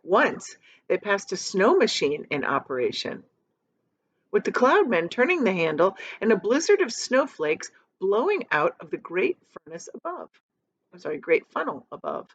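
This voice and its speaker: Female storybook reader, teacherly and deliberate, very animated pitch, slightly dull recording. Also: no echo. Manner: deliberate, dramatic, shows pictures to listeners. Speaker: teacher reading a book aloud. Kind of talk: expressive children's story reading.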